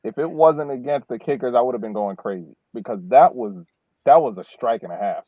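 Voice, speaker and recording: A man's voice: medium pitch, animated, brisk, no room sound. Phone-sounding man chatting on a sports podcast. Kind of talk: casual chat. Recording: tinny, phone-like, dry call audio.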